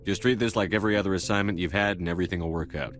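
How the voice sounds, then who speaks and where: A man playing a calm, authoritative military commander in a science fiction video game. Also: deep voice, racing, faint music behind. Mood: calm, firm. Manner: firm, steady.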